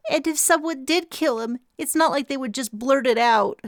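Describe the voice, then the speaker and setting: A woman's voice: sits high, animated, moderate pace, a bit bright, dry recording, studio quality, voice pushed up. A woman voicing a tearful young woman with a clogged nose. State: crying, stuffy nose.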